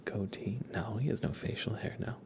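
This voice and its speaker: Male ASMR streamer, low-pitched, breathy whispering, casual gaming chat, relaxed, close to the mic. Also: moderate pace. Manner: relaxed, musing aloud, chatting with viewers. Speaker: livestreamer.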